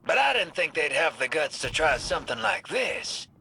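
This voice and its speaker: A man's voice: on the high side, big pitch swings, moderate pace, pushed and bright, a little hiss or hum, heavily acted. An actor voicing a cocky, wisecracking male space smuggler with a sardonic, drawling, slangy delivery in a video game. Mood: wry.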